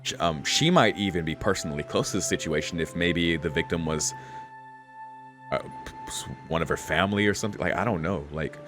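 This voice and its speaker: Young man, deep voice, casual visual novel commentary, slangy, laid-back, faint music behind. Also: animated, a little fast. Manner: laid-back slangy musing, frequent "like" and "dude". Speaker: streamer playing a visual novel. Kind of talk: casual game commentary, reading text aloud.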